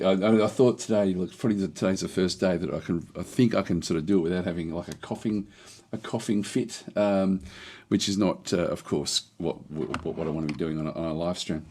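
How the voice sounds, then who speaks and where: Low-voiced guy on a game stream, kinda hoarse, rambly, lots of ums. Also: darkish tone. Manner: rambling, halting, lots of restarts and fillers. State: recovering, slightly hoarse voice. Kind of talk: casual live-stream chat.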